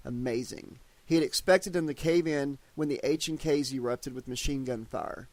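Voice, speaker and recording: A man's voice: medium pitch, normal speed, no room sound. Dry, close-miked male audiobook narrator. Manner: steady storytelling delivery. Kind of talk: scripted narration.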